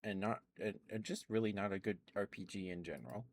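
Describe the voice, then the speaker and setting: Man, very deep voice, soft ASMR stream chat, meandering, close mic. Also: a little fast, creaky. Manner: meandering, chatty, lots of ums and little laughs. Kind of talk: soft rambling ASMR chat with live viewers.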